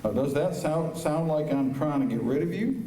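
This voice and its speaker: A man playing a gruff, no-nonsense, plain-spoken western marshal in an old-time radio drama. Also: fairly high pitch, expressive, moderate pace, a little hiss or hum, close to an everyday voice. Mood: gruff, exasperated. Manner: blunt, commanding, plain-spoken. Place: high school auditorium stage. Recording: echoey auditorium, distant mic, loud music.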